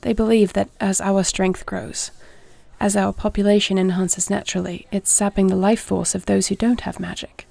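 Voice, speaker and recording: Grave, creaky-voiced warrior queen, acted by a woman. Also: mid-range voice, flat delivery, a little mellow, dry recording. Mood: grave, measured. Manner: measured, earnest, formal phrasing. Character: duty-bound warrior dragon queen, tender but grave wife. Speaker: voice actor. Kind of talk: intimate audio roleplay acting.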